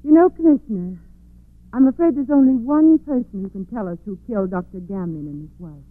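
A woman's voice: a little high, expressive, a little fast, dry recording, phone-quality sound, natural voice. An actress playing an earnest, insistent woman in a 1940s radio murder mystery. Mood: firm. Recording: thin, dry old radio recording.

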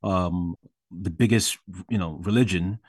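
A man's voice: low-pitched, a little slow, darkish tone, slightly rough, dry recording, clean studio sound. A man from Ghana, a podcast guest, chatting animatedly and emphatically, often restarting his sentences. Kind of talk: casual interview chat.

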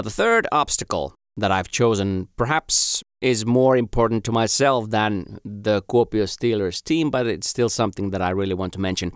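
Man, Finnish native speaker, low smooth voice, dry close sound, earnest podcast guest. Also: no room sound. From Finland. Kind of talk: podcast commentary.

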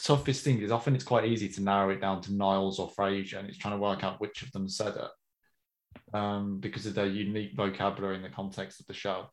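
A man's voice: fairly low pitch, brisk. A man, co-hosting a podcast in casual chat, reading listener mail and laughing easily. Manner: relaxed, chatty, often laughing. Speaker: podcast co-host.

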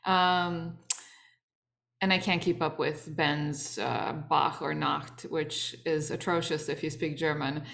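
Woman, podcast host, somewhat low pitch, chatty, laughs a lot. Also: slow, gravelly, fairly bright tone. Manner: laughs often, friendly and chatty. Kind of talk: casual chat.

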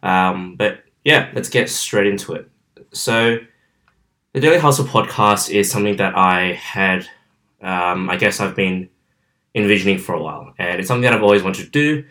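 A man's voice: low voice, moderate pace, pushed and bright. A man of about twenty-one with an Australian accent, chatting on his own podcast from his bedroom in an outgoing way with many fillers. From southwestern Sydney, Australia. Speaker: podcast host. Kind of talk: casual solo podcast monologue. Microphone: camera, filmed.

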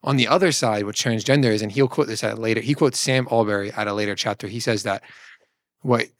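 A man's voice: on the low side, fast, no echo. Man co-hosting a discussion, dry close sound. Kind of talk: conversational discussion of a book.

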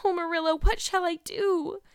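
A woman's voice: sits high, a little fast, dry recording, studio quality, voice pushed up. A woman voicing a despairing, wordy young girl in a storybook, pleading and earnest in a melodramatic way. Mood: tearful despair.

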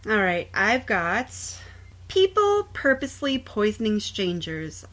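A woman's voice: mid-range voice, sing-song, a little slow, a bit bright. Sardonic woman podcaster telling true crime. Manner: sardonic, profane asides. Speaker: podcast co-host. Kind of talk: casual true crime chat, reading out stories.

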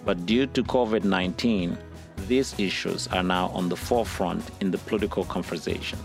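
A man's voice: low voice, fairly even intonation, normal speed, vocal fry. A man hosting an interview podcast, reading a formal, prepared introduction to the show and his guest. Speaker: podcast host. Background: soft music bed.

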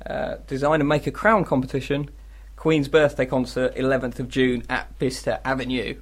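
A man's voice: medium pitch, level tone, normal speed. Grumbling English male radio co-host. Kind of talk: casual comedic radio banter. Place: radio studio. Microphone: studio microphone.

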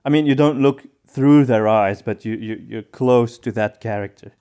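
Clear, smooth-voiced man chatting, softly mellow. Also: medium pitch, moderate pace. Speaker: podcast co-host. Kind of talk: casual conversational discussion.

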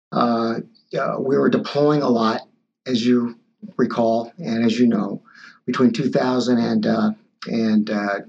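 A man's voice: mid-range voice, vocal fry, darkish tone. A man in his sixties, a physician guest, answering interview questions in a hesitant way with many fillers and restarts. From born in Taiwan, raised in Los Angeles. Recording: dull lo-fi audio.

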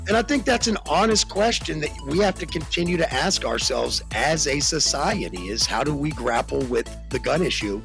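An American man hosting a casual podcast chat, animated and slangy, with a deep voice that jumps in pitch for emphasis. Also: moderate pace, vocal fry, few pauses, soft background music. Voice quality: deep bassy voice. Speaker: podcast host.

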